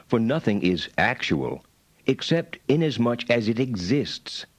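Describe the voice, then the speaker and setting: Slow, solemn medieval philosopher. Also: male voice, mid-range voice, big pitch swings, no echo. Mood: solemn, assured. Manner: solemn, measured, declamatory. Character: solemn male medieval philosopher-theologian expounding his doctrine. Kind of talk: dramatized reading of philosophical writings.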